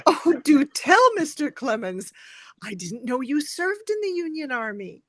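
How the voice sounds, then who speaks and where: A woman's voice: sits high, big pitch swings, measured pace, a bit bright, dry recording, clean studio sound, exaggerated voice. A woman playing a genteel, curious and polite lady in a table reading of a historical play. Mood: coaxing.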